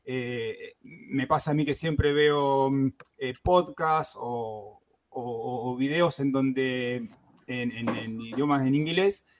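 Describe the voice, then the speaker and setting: A man's voice: mid-range voice, slow pace. Hesitant man hosting a podcast. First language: Spanish. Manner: hesitant, many fillers and restarts. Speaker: podcast host. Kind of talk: casual podcast intro.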